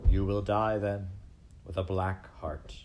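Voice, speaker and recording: A man's voice: deep voice, steady tone, takes it slowly, darkish tone, a little hiss or hum. A man voicing a stern, pompous head mouse who declaims imperiously in a storybook reading. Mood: cold, condemning.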